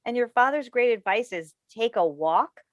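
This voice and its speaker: A woman's voice: on the high side, lively intonation, normal speed, highs cut off, close to an everyday voice. A woman playing a worried, exasperated mother in a dramatic play reading, pleading and emphatic. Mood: sarcastic, hurt. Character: worried, exasperated mother of a brilliant, troubled son. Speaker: stage actor in a play reading. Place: video call, remote staged reading. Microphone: video call microphone.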